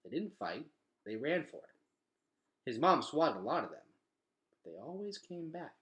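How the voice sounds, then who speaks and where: A man reading a children's novel aloud in an expressive, dramatic storytelling style. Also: a little high, a little slow. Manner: animated, dramatic delivery.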